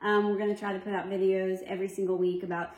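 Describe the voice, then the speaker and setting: A woman's voice: medium pitch, little pitch movement, fast talker, darkish tone. A woman in her thirties chatting casually on a video blog in a friendly, matter-of-fact way. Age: 30s. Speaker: vlog co-host. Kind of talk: casual chat. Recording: echoey room.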